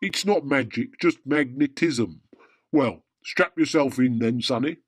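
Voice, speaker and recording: Radio-drama Santa played by a man: immortal, weary, wryly deadpan saint. Middling pitch, measured delivery, dry close sound. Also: dry recording, lightly acted. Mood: calm, avuncular. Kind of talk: radio drama dialogue in comic pantomime.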